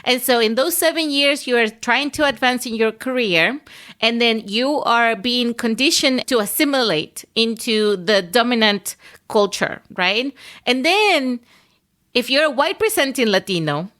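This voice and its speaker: A woman's voice: on the high side, expressive, a little slow, a bit bright. A woman from Venezuela hosting a podcast interview, explaining earnestly and often checking in with "right?". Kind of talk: conversational interview.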